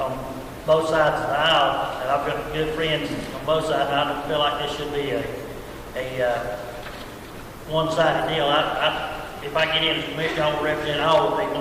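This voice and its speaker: A man in his sixties with a Western North Carolina accent, speaking off the cuff as a candidate in a halting, folksy way with restarts. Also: fairly high pitch, noisy background. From Haywood County, North Carolina. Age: 60s. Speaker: county commissioner candidate. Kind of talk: unscripted candidate speech. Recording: echoey hall from far off.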